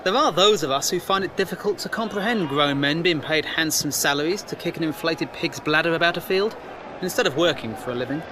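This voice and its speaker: A man's voice: on the high side, a little fast, noisy background, lightly acted. An actor playing an earnest, questioning First World War newspaperman, well-spoken, formal and wry, in an audio drama. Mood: earnest, probing. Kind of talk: period audio drama acting.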